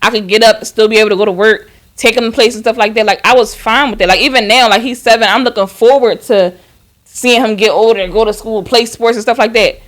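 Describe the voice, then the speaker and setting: A woman's voice: on the high side, fairly even intonation, quick pace. A woman aged thirty chatting casually as a podcast co-host, blunt and emphatic, swearing freely. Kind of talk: casual chat.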